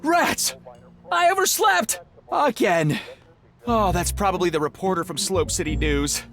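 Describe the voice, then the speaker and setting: An actor playing an earnest, excitable young rocket inventor in an adventure game, with a boyish, easily flustered, eager manner. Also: male voice, high voice, a little slow, faint music behind, exaggerated voice.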